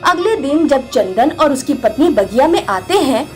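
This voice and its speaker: High-voiced woman telling a children's tale. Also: very animated, moderate pace, a little mellow, soft background music. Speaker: storyteller. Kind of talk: scripted narration of a children's moral fairy tale.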